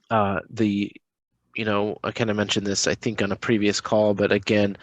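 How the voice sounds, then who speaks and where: Low, even-pitched man on a dull line. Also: no room sound. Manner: halting, hesitant delivery. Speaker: public health program staffer. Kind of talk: unscripted conference call update. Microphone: conference call connection. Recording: dull conference-call audio.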